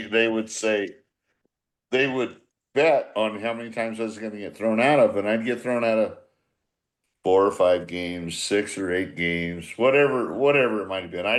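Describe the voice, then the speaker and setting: A man in his sixties with a fairly low voice talks like an old ball manager telling stories. He rambles, circles back over his phrases and speaks bluntly, with salty language, in a casual group podcast style. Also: moderate pace, a bit bright. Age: 60s. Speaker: former baseball manager.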